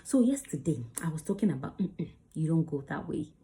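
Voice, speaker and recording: A woman's voice: sits low, sing-song, a little fast, dark tone, slight background noise. A woman giving casual, emphatic advice on self-tape auditions, acting out examples as she goes. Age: adult under 35. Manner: chatty, emphatic, demonstrates lines. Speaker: acting coach. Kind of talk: casual teaching to camera.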